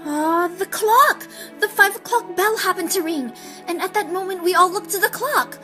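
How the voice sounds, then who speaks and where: A woman's voice: very high-pitched, bright, forceful tone, soft background music. A woman playing an anxious, fragile schoolgirl in an audio drama, speaking hesitantly and narrating her own story. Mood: pensive. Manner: hesitant, halting. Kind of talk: anime-style audio drama acting.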